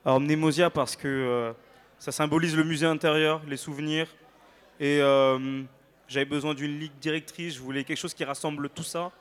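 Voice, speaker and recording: Fairly high-voiced male singer, playful and informal. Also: fairly even intonation, normal speed, clear, resonant tone. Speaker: singer, interview guest. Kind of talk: casual on-stage interview chat.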